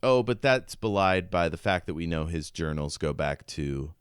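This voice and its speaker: A man with a low, smooth voice co-hosts a book podcast in an informal, questioning way. The sound is close and dry, and he muses aloud conversationally. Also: animated, moderate pace, no echo. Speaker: podcast co-host. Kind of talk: casual book discussion.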